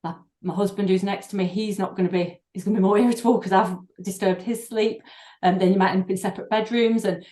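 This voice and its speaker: A middle-aged woman, a doctor and breathing therapist, speaking in a podcast interview, thoughtful and halting with many fillers and restarts. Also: mid-range voice, fairly even intonation, dark tone. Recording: echoey room.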